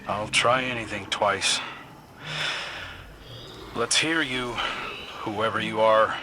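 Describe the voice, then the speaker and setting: A man's voice: on the low side, fairly even intonation, takes it slowly, noisy background. An actor playing a wary, earnest young male adventurer in a video game, terse and intense. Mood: tense, resolute. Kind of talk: dramatic video game voice acting.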